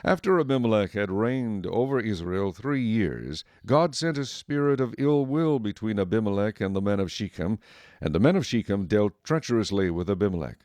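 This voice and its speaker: A man reads as narrator of a dramatized Bible production. His voice is on the low side, and the sound is dry and close, with little room to it. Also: expressive, normal speed, a little mellow, no echo.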